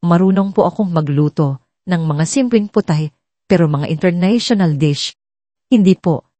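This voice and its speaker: Woman voicing a frank, polite young Filipina secretary, mellow. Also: lowish voice, moderate pace, dry recording, close to an everyday voice. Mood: candid, modest. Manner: respectful, candid. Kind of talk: storybook drama dialogue.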